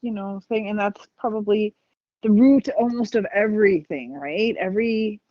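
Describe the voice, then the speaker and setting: A woman co-hosting a podcast in casual conversation, reflective and sometimes halting as she talks about feelings. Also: mid-range voice, no echo, lo-fi sound. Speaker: podcast co-host.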